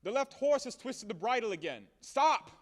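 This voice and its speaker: An actor playing a furious, self-pitying landowner who rants and grumbles theatrically in an audio play comedy. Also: male voice, very high voice, big pitch swings, normal speed, heavily acted. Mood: barking orders. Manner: theatrical, exasperated ranting and grumbling. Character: blustering, furious, self-pitying male landowner demanding his money. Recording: echoey hall-like reverb.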